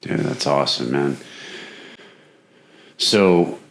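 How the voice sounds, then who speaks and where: A man with a very deep voice hosts a podcast interview. He sums up what his guest has said and nudges the talk along with leading questions, often adding "right?". The tone is casual and conversational. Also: slow pace, gravelly. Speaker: podcast host.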